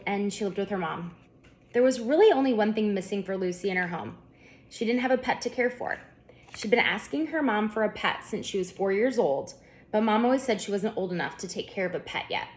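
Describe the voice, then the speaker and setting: A woman author narrating her children's book at storytime, with expressive, deliberate phrasing for young listeners. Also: mid-range voice. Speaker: children's author reading aloud.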